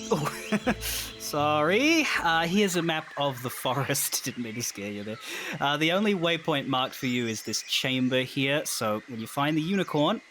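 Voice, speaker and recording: A man's voice: a little high, expressive, moderate pace, music in the background, close to an everyday voice. A man playing a friendly, folksy angelic attendant in an audio drama, casual and apologetic in manner.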